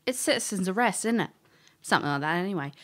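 A woman's voice: medium pitch, animated, quick pace, lightly acted. A woman playing a brash, hot-headed investigator in an audio drama, righteous and flippant, with a casual, blunt manner. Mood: flippant, breezy. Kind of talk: audio drama acting and first-person narration.

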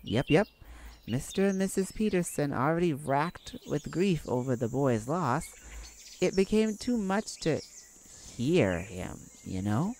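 A woman voicing a kindly, hesitant male neighbor in an ASMR roleplay, telling a story in a halting, folksy way. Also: low voice, big pitch swings, a little mellow, slight background noise, exaggerated voice. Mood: wistful, folksy. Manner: folksy, halting, sighing between thoughts.

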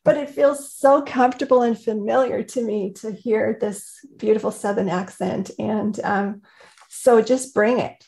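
A woman hosting an interview on a video call from her home, warmly effusive and quick to laugh. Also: on the high side, steady tone, creaky. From Indiana. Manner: enthusiastic, effusive, laughs often. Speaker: host. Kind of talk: interview host. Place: home room. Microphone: video call webcam.

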